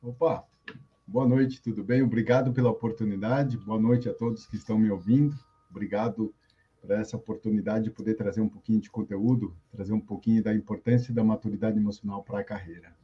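A man's voice: on the low side, fairly even intonation, fairly quick, husky, highs cut off. A man in his fifties, a coach being interviewed, telling his story in an easygoing way with fillers. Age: 50s. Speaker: guest coach. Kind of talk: casual interview on a live stream.

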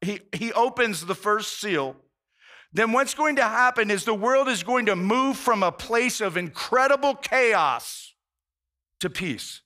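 Guy preaching, chatty and earnest, keeps restarting and saying man, pretty high bright voice. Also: animated, unhurried. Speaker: pastor. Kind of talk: conversational sermon.

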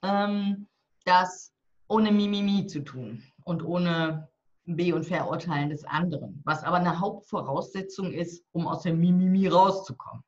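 A woman's voice: fairly low pitch, unhurried, a little mellow. A woman of about sixty talking bluntly and with humor in a casual interview, describing how she coaches people. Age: turning 60. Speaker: guest, divorce coach and author. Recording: slightly dull lo-fi audio.

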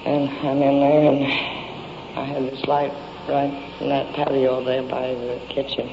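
A woman's voice: rumbling low, monotone, measured pace. A woman giving a statement to police, plainly recounting events step by step in colloquial, rambling speech. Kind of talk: unscripted, plain step-by-step account. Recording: dull, noisy old field recording.

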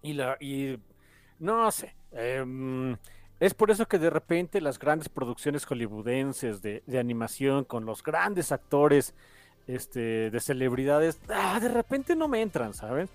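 A man's voice: medium pitch, expressive, slow pace, no echo, soft background music. A man with a Mexican accent hosting a podcast, chatting casually in an enthusiastic, rambling way. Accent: Mexican Spanish. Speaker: podcast host.

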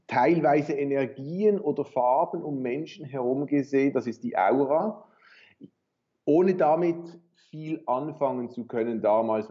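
A Swiss-accented man speaks as an interview guest in a conversational way. His voice is mellow and mid-pitched, and it comes through a dull, lo-fi remote call. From Switzerland. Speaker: podcast guest, clairvoyant medium and author.

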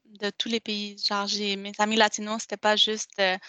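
A young woman in her late teens with a Québécois French accent tells her own story, stopping and restarting often. Her tone is bright and crisp but stays on a flat, even pitch. The recording is dry and close, with no room sound. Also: moderate pace, no echo. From Montreal, Quebec. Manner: hesitant, many restarts and fillers. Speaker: interviewee. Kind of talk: casual personal storytelling.

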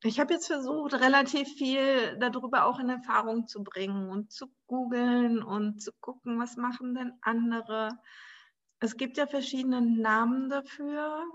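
Slow, musing German woman podcaster. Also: a little high, dull audio. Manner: relaxed, searching, frequent ums and soft laughs. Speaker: podcast host. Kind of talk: casual solo podcast monologue.

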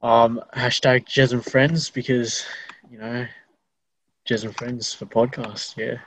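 A man's voice: mid-range voice, little pitch movement, slow, a bit bright, breathy edge, poor audio. A young Australian man hosting a podcast interview in an informal, matey, chatty way. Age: teenager or young adult. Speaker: podcast host. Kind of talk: casual interview chat.

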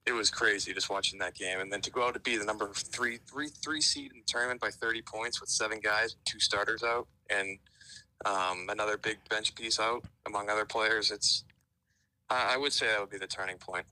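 A man's voice: on the low side, a little fast, bright, forceful tone, rough recording. A young man calling in to a sports radio show, speaking eagerly and emphatically. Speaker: caller, college sports fan.

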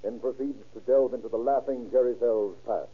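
An actor narrating an old-time radio crime drama in a grave, formal style. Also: male voice, mid-range voice, fairly quick, breathy edge, lightly acted. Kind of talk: 1930s-1940s radio scripted narration. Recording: scratchy, tinny old radio recording.

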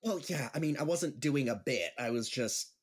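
A man's voice: mid-range voice, animated, normal speed, husky. A man playing an upbeat, chatty friend and amateur comic in an audio drama, with a playful, teasing manner. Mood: casual, puzzled.

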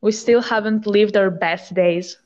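A woman's voice: medium pitch, unhurried, darkish tone, a little hiss or hum. Guest, woman, 21, earnest and reflective, audio dull and muffled over a remote line. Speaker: podcast guest. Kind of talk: casual interview chat.

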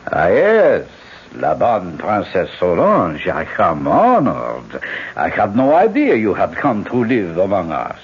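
A suave radio-drama dictator, very hoarse and husky, swooping widely in pitch with mock graciousness. The old recording is thin and phone-like. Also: male voice, huge pitch swings, slow pace, full character voice. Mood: silky, mock-polite. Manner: smooth-mannered, mock-gracious. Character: suave dictator, falsely courteous and menacing.

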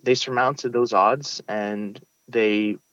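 A young man talks in a fairly low voice, earnest and a little halting as he restarts his sentences. The sound is dry and close, and slightly muffled. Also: fairly even intonation, fairly bright tone, no room sound. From United States (Brooklyn). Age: young adult. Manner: earnest, frequent restarts and fillers. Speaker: interview guest, valedictorian. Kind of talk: casual interview.

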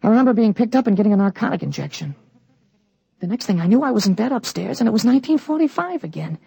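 A man playing a teenage boy with an adult's memories, explaining things calmly and matter-of-factly in a 1940s radio drama. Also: high voice, fast talker, gravelly, husky, no echo, muffled recording, exaggerated voice. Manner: calm, matter-of-fact explaining. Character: teenage boy with an adult mind, calm and knowing.

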